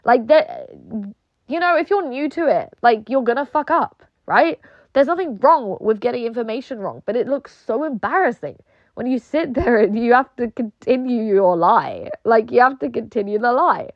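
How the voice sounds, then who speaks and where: A woman's voice: fairly high pitch, animated, moderate pace, dry recording. Young woman, British accent, casual sweary rant, lots of "like", dry close sound, slightly dull audio. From not British; moved to England. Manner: swears often, many "like" fillers, mocking quoted voices. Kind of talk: casual ranting monologue.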